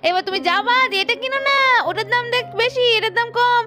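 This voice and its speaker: Lady doing a bossy doll-cartoon wife, super high voice, talks fast, exclaims a lot. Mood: nagging, indignant. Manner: nagging, repetitive, exclamatory. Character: bossy, demanding, money-loving wife, easily won over. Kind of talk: doll cartoon story voice acting. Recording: faint music behind the voice.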